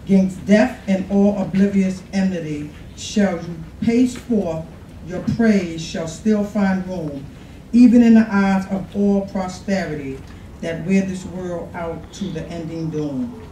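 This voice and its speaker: Slow-reading woman, distant in echoey room. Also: lowish voice, noisy background. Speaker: poetry contest reader. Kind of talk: reading a sonnet aloud. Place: college classroom, at a podium.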